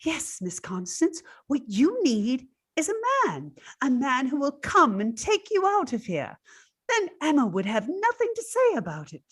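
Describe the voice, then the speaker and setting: A woman's voice: high voice, wildly swooping pitch, a little slow, a bit bright, heavily acted. A woman playing a scheming, cosy, outspoken household servant in an old-time radio drama, coaxing and conspiratorial.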